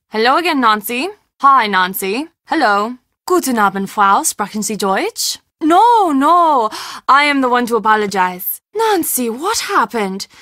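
A formal, eager-to-please castle manager in a video game. Her voice is fairly high and bright, careful and slightly anxious, recorded very dry. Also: no room sound, exaggerated voice. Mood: welcoming, apologetic. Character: polite, anxious female German castle guest-services manager.

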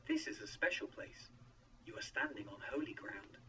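A man's voice: fairly low pitch, huge pitch swings, normal speed, sharp tone, recorded from a distance, muffled recording, a little hiss or hum, voice pushed down, heavily acted. A man voicing a divine being, solemn, commanding and reassuring, in a dramatized Bible story.

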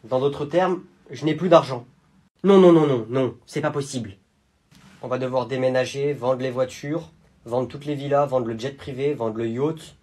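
A young man with a slightly husky voice speaks in a deadpan, low-key way. He is recorded close up in a small room. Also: normal speed. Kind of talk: comedy sketch acting.

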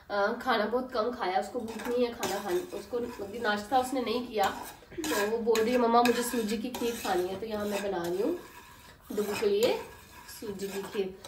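A woman's voice: on the high side, roomy sound, noisy background. A woman in her thirties vlogging casually from home while she has a cold, chatty and complaining, recorded on a phone. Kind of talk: casual family vlog chat. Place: home kitchen and bedroom. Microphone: phone camera.

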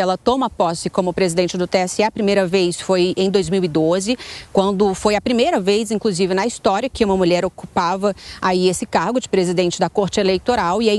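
Young woman reporting live outdoors. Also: mid-range voice. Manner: steady explanatory delivery with fillers. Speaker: field reporter. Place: outdoors in a plaza. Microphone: handheld mic.

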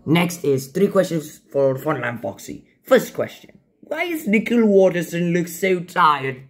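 A man voicing a jolly, boastful cartoon pirate fox with gravelly, halting, theatrical buccaneer talk. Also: a little high, animated. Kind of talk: in-character fan Q&A voice lines.

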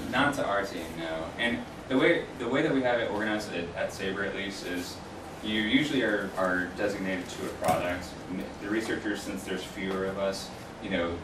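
Low-voiced man, roomy distant recording. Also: normal speed. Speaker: guest speaker, UX researcher. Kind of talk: informal career talk to a class, answering questions. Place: small classroom, indoors. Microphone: distant camera microphone. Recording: roomy, distant mic, clear background noise.

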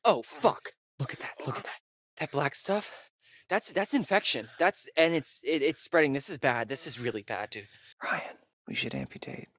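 A man's voice: mid-range voice, lively intonation, moderate pace, fairly bright tone, no echo, studio quality. A young man with an American accent acting a wisecracking, nervy camp counselor in a video game, with casual, hesitant delivery. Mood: alarmed.

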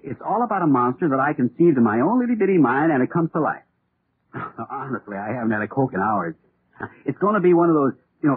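Frantic, raspy radio horror character. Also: male voice, medium pitch. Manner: intense, overwrought. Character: excitable male radio horror writer, frantic, obsessed with monsters. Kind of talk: 1930s radio drama acting. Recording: scratchy, phone-like old radio recording.

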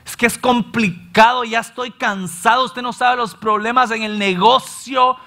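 A Salvadoran pastor in his thirties preaching an animated sermon from a church stage, often acting out his listeners' complaints. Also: very high-pitched, measured pace. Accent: Salvadoran Spanish. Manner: animated, gestures widely, acts out listeners' complaints. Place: church stage in front of a large screen.

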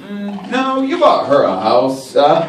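High-voiced comedian in an echoey club. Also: male voice, wildly swooping pitch, slow pace. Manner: wry, mocking, shifts into silly voices for jokes. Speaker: stand-up comedian. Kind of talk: stand-up comedy set. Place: small comedy club stage, indoors. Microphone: handheld mic, filmed from an audience table. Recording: echoey club audio from a distance, loud crowd laughter.

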